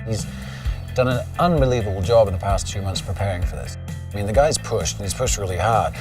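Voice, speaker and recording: A man's voice: fairly low pitch, animated, fairly quick. A man hosting a motorcycle documentary, explaining the plan in an earnest, matter-of-fact way. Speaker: host, motorcycle journalist. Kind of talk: documentary narration. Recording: distant mic with music bed.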